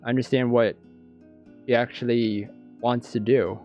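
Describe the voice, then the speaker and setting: A man with a medium-pitched voice narrates a visual novel for a gaming video. His own asides come out halting and stammered. The audio is a little lo-fi, with soft guitar music behind him. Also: flat delivery. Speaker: gaming YouTuber reading a visual novel aloud. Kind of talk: let's play narration with off-the-cuff reactions. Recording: dull lo-fi audio. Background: guitar background music.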